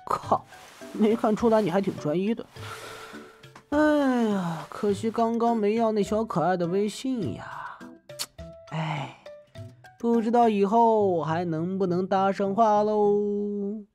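A woman playing a cheeky, excitable younger sibling in an audio drama, teasing, chatty and exclamatory. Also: mid-range voice, slow, soft background music, close to an everyday voice. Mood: teasing, exasperated.

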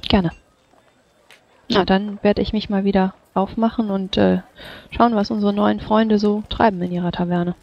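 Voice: medium pitch, steady tone, moderate pace, creaky, dull audio. A tabletop roleplaying player chatting casually in character.

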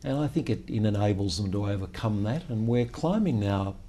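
Older founder, dark mellow voice on the lower side, measured storyteller, lapel mic in an office. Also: male voice, animated. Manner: measured, storytelling, frequent "well, look" openers. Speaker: company founder and chief executive. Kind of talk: business interview. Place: high-rise office meeting room with city view.

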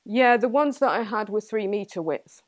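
A woman's voice: mid-range voice, lively intonation, normal speed, no echo. A young woman with an English accent answering audience questions after a talk, thinking aloud and hedging, laughing now and then. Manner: thoughtful, hedging, laughs often. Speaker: guest speaker, entomologist. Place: small indoor meeting room with audience.

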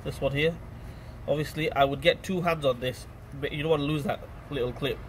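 A man's voice: a little high, steady tone, a little fast, slight background noise. Stuffy-nosed man chatting through a car repair. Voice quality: stuffy blocked nose. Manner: chatty, informal, addresses viewers as guys. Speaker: mechanic presenting a repair video. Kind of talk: casual how-to commentary while working.

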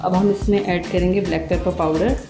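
A woman hosting a cooking channel, calmly talking through a recipe step by step in a voice-over. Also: fairly low pitch, a little fast, roomy sound, music in the background. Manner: steady step-by-step instructing. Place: home kitchen.

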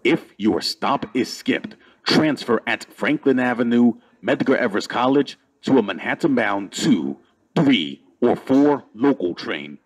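Male transit announcer, mid-pitched with a husky edge, formal scripted service notices, measured delivery. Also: animated, rough recording.

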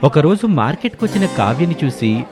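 Expressive male storyteller, very lively pitch. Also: fairly quick, over music, a crowd in the background. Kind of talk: scripted story narration with acted dialogue.

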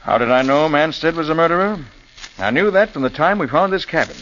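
A man's voice: a little high, animated, a bit bright. An actor playing a confident, clever male detective explaining a case in a 1940s radio drama. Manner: brisk, explanatory. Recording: dull old radio recording with faint hiss.

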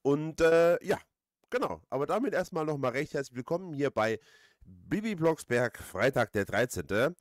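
A man's voice: on the high side, expressive, moderate pace, dry recording, clean studio sound. A man giving casual let's play commentary as host, rambling hesitantly and repeating his phrases.

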